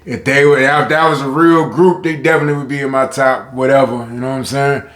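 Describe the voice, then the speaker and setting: Deep-voiced guy rambling to his viewers in an echoey room, keeps restarting his sentences. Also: steady tone, fast, fairly bright tone. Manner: rambling, self-interrupting, talks to viewers. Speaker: vlogger. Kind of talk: casual talk to camera.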